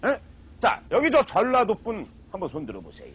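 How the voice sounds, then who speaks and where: A man on a comedy stage giving a mock lecture, drawing out his words slowly for emphasis. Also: high voice, wildly swooping pitch, fairly bright tone, breathy edge. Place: stage with live audience.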